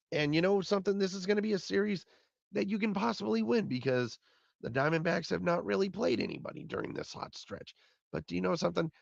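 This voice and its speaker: A man hosts a solo postgame recap, his voice raspy and hoarse from illness and gravelly at the edges. He rambles and repeats himself in a plain middle register. The recording is dry and a little muffled. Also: mid-range voice, no echo. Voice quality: raspy, fading voice. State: hoarse from being sick. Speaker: podcast host.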